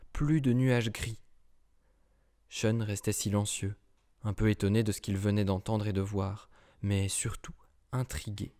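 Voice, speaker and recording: A man narrating a children's storybook cartoon in a lively, rhythmic reading. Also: on the low side. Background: children's music.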